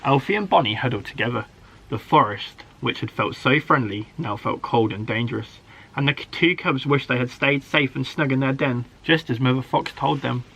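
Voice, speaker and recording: A man, a primary school teacher, reading a children's story aloud in an expressive, dramatic way. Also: medium pitch, moderate pace, vocal fry, breathy edge, poor audio. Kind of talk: animated children's story narration.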